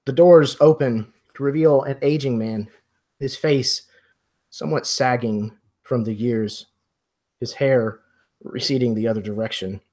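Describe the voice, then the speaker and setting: Slightly husky man narrating as game master. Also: mid-range voice, fairly even intonation, a little slow, mellow. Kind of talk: improvised scene narration for roleplay.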